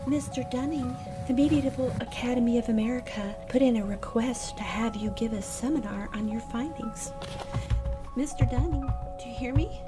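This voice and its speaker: An actress playing a solicitous, insistent secretary in a radio play, pleading with concern. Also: female voice, a little high, normal speed, natural voice. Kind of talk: radio drama acting. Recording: prominent music under the voice.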